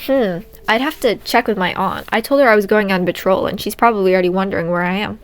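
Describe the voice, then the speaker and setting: A woman's voice: medium pitch, fast, natural voice. A woman voicing an earnest, affectionate teenage girl hero in a story reading, with hesitant phrasing. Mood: sheepish. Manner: hesitant, filler-strewn dialogue.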